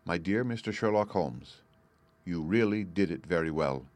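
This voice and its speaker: A man reading out a letter written by a clever, composed woman, in a measured storybook voice. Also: sits low, animated, darkish tone, no room sound.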